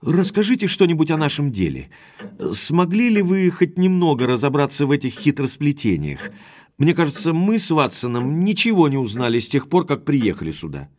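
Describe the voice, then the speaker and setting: Young baronet, audio drama, frank, courteous, fairly high male voice. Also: sing-song, moderate pace, a little mellow. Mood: bored, curious. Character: Sir Henry, frank young baronet back from America. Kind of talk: Russian audio drama acting.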